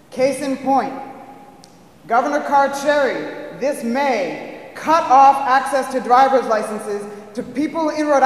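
A man gives an impassioned, rousing public speech as a community activist at a hearing. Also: extremely high pitch. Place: large public meeting hall. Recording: echoey hall from a distance.

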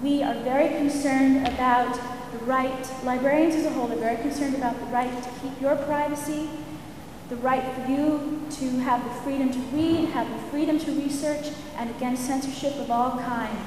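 A librarian, a woman with a naturally soft voice pushing herself to speak louder, gives an earnest, firm public comment at a hearing. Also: high-pitched, steady tone, moderate pace. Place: large public meeting hall. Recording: echoey hall with room noise.

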